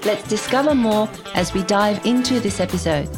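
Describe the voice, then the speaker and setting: A woman co-hosting a podcast, with a voice of middling pitch. She reads her lines with enthusiasm. She eases her guest into the story with encouraging questions. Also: normal speed, over music. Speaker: podcast co-host. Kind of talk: scripted intro, then conversational interviewing.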